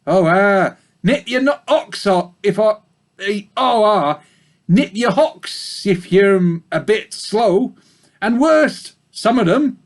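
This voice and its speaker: A rustic old ewe, as a man reading a storybook aloud puts her on, her voice high and loud with a slow country drawl. She grumbles in broad dialect, hesitant and chatty, gentle underneath. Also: lively intonation, exaggerated voice. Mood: complaining. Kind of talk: reading a children's book aloud.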